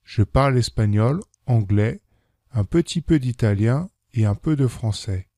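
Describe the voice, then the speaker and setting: A man with a warm, mellow voice reads a short French self-introduction text. He reads with clear, careful articulation. The sound is dry and close to the mic. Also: medium pitch, animated, a little slow, dry recording. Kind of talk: scripted reading for learners.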